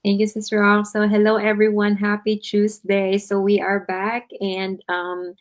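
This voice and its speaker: A woman's voice: mid-range voice, monotone, unhurried. A woman from the Philippines with a Filipino accent, introducing herself as co-host of an online talk. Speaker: co-host, registered nurse.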